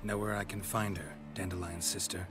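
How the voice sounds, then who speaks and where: A man acting a gruff, laconic monster hunter in a video game, terse and deadpan with dry wit. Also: sits low, a little fast, over loud music, lightly acted. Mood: dry, probing.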